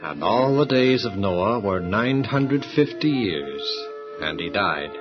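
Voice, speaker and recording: Solemn, animated male Bible drama narrator. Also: lowish voice, slow. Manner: formal, storytelling. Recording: dull lo-fi recording with music. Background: dramatic music.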